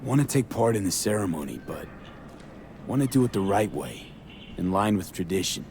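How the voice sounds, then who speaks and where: A young male mercenary in a cinematic game, with a very low voice. He is guarded and earnest in his grief, talking in short, clipped phrases over faint ambience. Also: expressive, moderate pace, darkish tone, a little hiss or hum. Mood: subdued, sombre. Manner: low-key, clipped sentences dropping first words. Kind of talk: cinematic video game acting.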